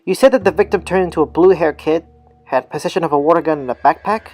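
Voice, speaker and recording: A man's voice: fairly high pitch, quick pace, a little mellow, faint music behind, lightly acted. Man acting a pensive senior police investigator. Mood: thoughtful, measured. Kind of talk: amateur audio drama acting.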